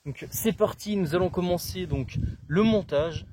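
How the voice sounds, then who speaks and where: A man's voice: high voice, unhurried, a little hiss or hum. A man from France casually explaining, step by step, how he sets up his portable antenna outdoors, stalling often with fillers and restarts. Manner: hesitant, many fillers and restarts. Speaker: CB radio hobbyist demonstrating his gear. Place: outdoors on open ground.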